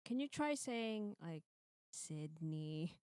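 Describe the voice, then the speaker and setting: A woman's voice: lowish voice, big pitch swings, slow, darkish tone. A woman chatting casually as a co-streamer during a group game stream. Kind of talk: casual chat.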